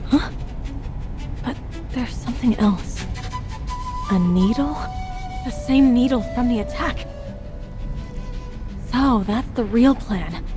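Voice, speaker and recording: An actress playing a sharp sleuth musing intently on a conspiracy in a video game cutscene. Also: female voice, on the high side, slow, long pauses, over music. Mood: grave realization. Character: sharp female sleuth piecing together a conspiracy. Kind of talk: dramatic game cutscene monologue.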